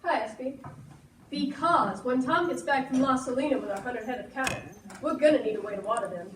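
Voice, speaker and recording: A woman's voice: high voice, brisk, natural voice. A young woman playing a stubborn, hopeful ranch woman in an amateur Western stage play. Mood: dismissive. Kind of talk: projected amateur stage acting. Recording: echoey room from far off, noisy.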